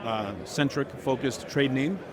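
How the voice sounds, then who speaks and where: A man's voice: medium pitch, unhurried. A man giving a casual product interview as a company owner, talking informally in business jargon at a trade show. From Turkey or of Turkish family. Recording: busy crowded convention hall noise. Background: loud crowd chatter.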